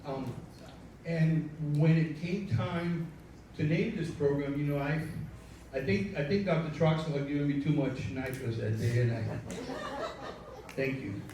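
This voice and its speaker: A man with a Cuban accent welcoming a live audience as producer, loose and self-deprecating, halting with fillers. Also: fairly high pitch, noisy background. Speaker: show producer. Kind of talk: rambling welcome speech. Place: live stage before an audience. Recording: echoey room, mic from far, audience.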